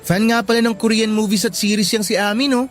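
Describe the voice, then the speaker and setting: Man acting high-voiced, tentative young Filipino buddy. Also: steady tone, fast, faint music behind, close to an everyday voice. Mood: friendly. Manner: casual, hesitant. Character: hesitant, concerned young male student friend. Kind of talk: Filipino radio drama acting.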